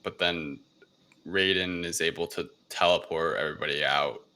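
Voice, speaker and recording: Bright-voiced, low-pitched man recapping casually. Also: moderate pace. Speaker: podcast co-host. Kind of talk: casual film recap chat.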